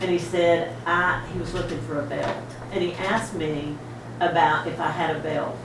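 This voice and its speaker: A woman's voice: sits low, steady tone, moderate pace, gravelly. A woman on the school board giving a chatty, laughing tribute speech at an awards event. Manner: warm, chatty, laughing storyteller. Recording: echoey hall from far off, noisy.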